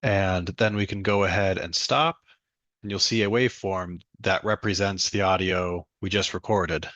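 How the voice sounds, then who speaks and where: A young man, presenting a step-by-step software demonstration. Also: low-pitched, fairly even intonation, moderate pace, dry recording, muffled recording. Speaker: student demo presenter. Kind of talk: software demo walkthrough.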